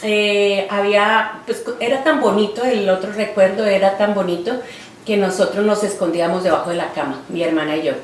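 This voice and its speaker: A Colombian woman in her forties speaks reflectively, pausing often on fillers as she recalls her life. She is heard from a distance in a roomy space with a faint hiss behind her. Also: medium pitch. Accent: Colombian Spanish. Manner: reflective, halting, with many fillers. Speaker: interviewee, artist. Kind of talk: oral history interview.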